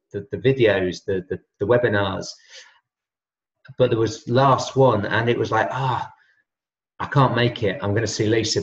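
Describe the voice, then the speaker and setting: A relaxed man in his fifties talks in a chatty, rambling way over a video call. His voice sits a little low, and the laptop audio sounds dull and lo-fi. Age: 50s. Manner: relaxed, chatty, many fillers and restarts. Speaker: health and wellness coach. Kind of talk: casual video call chat. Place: home room with shelves. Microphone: laptop webcam on a video call.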